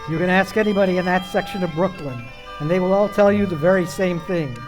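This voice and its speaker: A man's voice: high voice, quick pace, natural voice. A man acting as the genial storytelling narrator of an old-time radio suspense play. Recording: faint music behind.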